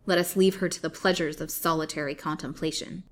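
A woman reading the lines of a scheming male villain, speaking smoothly and commandingly. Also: fairly low pitch, moderate pace, natural voice. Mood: angry.

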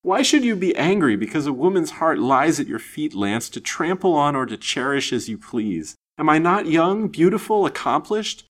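A man's voice: lowish voice, animated, moderate pace, fairly bright tone. A man voicing a passionate, lovestruck young woman in a melodramatic, imploring manner for an audiobook story. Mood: beseeching. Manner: imploring, overwrought, theatrical. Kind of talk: dramatised audiobook reading.

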